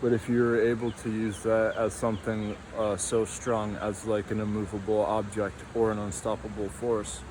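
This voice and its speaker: Young male vlogger, flat, drifting tangents, outdoors with light traffic hum. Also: fairly low pitch, normal speed, few pauses. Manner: meandering, tangential, many fillers. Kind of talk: rambling casual monologue.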